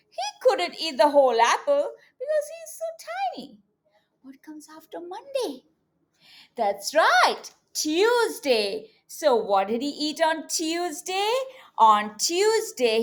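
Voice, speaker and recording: A woman reading a picture book aloud to young children as a teacher, expressive and coaxing, with questions to the listeners and deliberate emphasis on words. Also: high-pitched.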